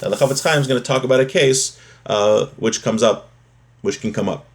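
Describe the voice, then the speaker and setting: Male religious teacher, mid-pitched voice, reading and explaining a text, restating each point for clarity. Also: fairly quick. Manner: explanatory, repeats and rephrases points. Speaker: religious teacher giving a daily class.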